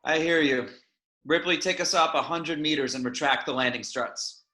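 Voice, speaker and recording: A man's voice: on the high side, moderate pace, sharp tone, roomy sound. A man reading the part of a weary, brusque spaceship captain in an amateur script table read, terse and offhand. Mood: impatient.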